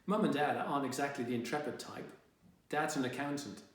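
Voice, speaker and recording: A man's voice: medium pitch, fairly quick, distant mic, lightly acted. A man voicing a sullen, indignant fifteen-year-old boy telling his own story in a wry, exasperated way. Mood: wry, uneasy. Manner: wry, dramatic, exasperated teenage grumbling. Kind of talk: first-person young adult audiobook reading. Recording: very echoey, reverberant room.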